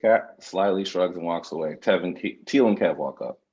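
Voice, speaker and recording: Deep, husky male narrator, slightly muffled. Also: normal speed, a little mellow. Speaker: stage-direction reader. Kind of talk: scripted narration for a table read.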